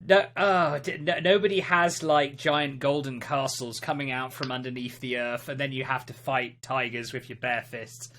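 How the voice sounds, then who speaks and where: A man co-hosting a casual gaming podcast, chatting in an excitable, jokey way. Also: medium pitch, moderate pace, few pauses. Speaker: podcast co-host.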